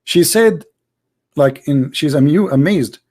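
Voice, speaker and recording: A man debating religion, arguing forcefully and repeating himself as he presses his point. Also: fairly high pitch, animated, takes it slowly, slightly rough. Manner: forceful, argumentative, repeats words. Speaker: debate host. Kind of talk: heated debate.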